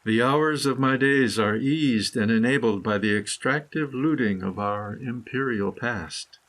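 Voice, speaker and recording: Slow, solemn man reading meditative prose. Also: medium pitch, a bit bright. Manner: solemn, deliberate, dramatic phrasing. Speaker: author reading own essay. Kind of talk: scripted meditative prose-poem narration.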